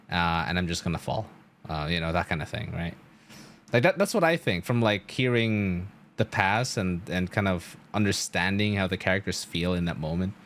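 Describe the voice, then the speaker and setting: Lowish-voiced man, rambling casual streamer. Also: fairly bright tone, a little hiss or hum. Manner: halting, rambling, thinking aloud with repeated "like". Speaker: game streamer. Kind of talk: casual live-stream commentary.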